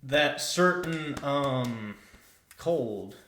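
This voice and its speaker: A man's voice: mid-range voice, lively intonation, very slow, a crowd in the background. A twenty-year-old man co-hosting a casual podcast, speaking in a hesitant way with lots of filler words. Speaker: podcast co-host. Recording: echoey room.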